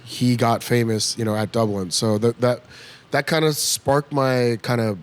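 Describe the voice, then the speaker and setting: A man in his fifties from California, guesting in a comedy club bar. He tells lively anecdotes at a mid-range pitch and slips into the voices of the people he quotes. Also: normal speed. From United States (California). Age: 50s. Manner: animated storyteller who acts out quoted voices. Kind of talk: casual interview chat.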